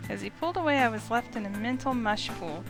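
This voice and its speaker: A woman playing a flustered, sceptical young heroine, narrating a visual novel in the first person with expressive dialogue. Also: on the high side, quick pace. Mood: breathless wonder. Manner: incredulous, expressive. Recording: music behind the voice.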